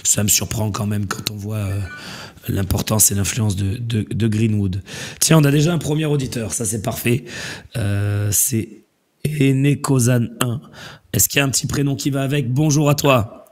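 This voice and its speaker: A man hosting a casual call-in show, talking in a relaxed, rambling way with many fillers while losing his voice to a cold. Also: medium pitch, normal speed. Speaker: host.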